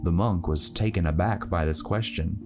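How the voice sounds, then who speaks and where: Deep-voiced man narrating a fantasy story. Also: moderate pace, over music.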